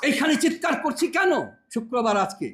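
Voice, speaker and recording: Older bangladeshi guy giving fiery political speech, high voice, really emphatic, echoey room. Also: lively intonation, brisk, a bit bright, a little hiss or hum. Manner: emphatic, didactic, rhetorical questions. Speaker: public speaker.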